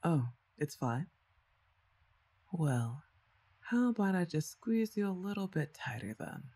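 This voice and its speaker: A young woman performing an ASMR roleplay as her best friend's playful, teasing older sister, speaking softly. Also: lowish voice, very animated, takes it slowly, slightly rough, exaggerated voice. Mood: affectionate. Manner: playful, teasing, soft-spoken.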